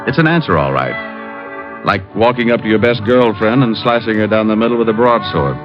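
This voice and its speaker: A man's voice: lowish voice, animated, fairly quick. A man speaking dry, sardonic detective patter in 1950s radio acting style. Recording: dull lo-fi old radio transcription with music.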